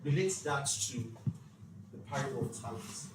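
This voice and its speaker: A man's voice: medium pitch, expressive, moderate pace. Echoey, distant man teaching emphatically. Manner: preacherly, emphatic, uses rhetorical questions and acted-out dialogue. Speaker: speaker leading a financial literacy leadership session. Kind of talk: motivational faith-based teaching talk to a live group. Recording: echoey room, distant mic, clear background noise.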